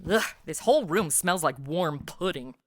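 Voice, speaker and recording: A woman's voice: fairly low pitch, animated, moderate pace, no room sound, studio quality, close to an everyday voice. A woman with an American accent playing a brash, flippant superheroine, sarcastic and irreverent, in a comedy audio drama.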